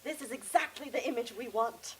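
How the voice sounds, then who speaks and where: A woman playing a crisp, directive film crew leader in an amateur radio drama. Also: fairly high pitch, a little fast, a bit bright, a little hiss or hum, close to an everyday voice. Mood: directing.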